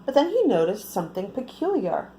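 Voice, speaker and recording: A woman reading a picture book aloud to young children, expressive and often putting questions to her listeners. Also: mid-range voice, a little fast, soft background music. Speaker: children's storyteller.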